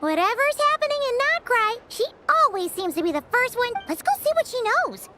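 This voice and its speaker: A woman's voice: extremely high pitch, big pitch swings, fairly quick, over loud music. A woman voicing a small, pert, childlike female game companion who speaks in exclamations, in an English video game dub. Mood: eager.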